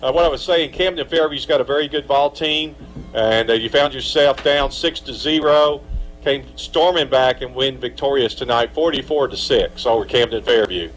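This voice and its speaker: A man announcing a high school football game on live radio, chatting through scores and interviewing the coach. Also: normal speed, poor audio. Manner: upbeat, conversational, asks long setup questions. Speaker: play-by-play announcer. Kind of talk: live sports radio commentary.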